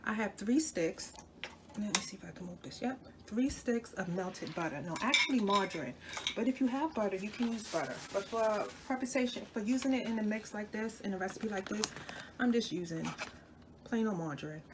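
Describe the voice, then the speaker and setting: A woman's voice: mid-range voice, lively intonation, a little fast. A woman with a slightly stuffy nose, chatting her way through a home cooking demonstration and stopping now and then to correct herself. Dishes and utensils clink around her in a noisy kitchen recording. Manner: chatty, talks to viewers while working, self-correcting. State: stuffy from a cold. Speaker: cooking channel host.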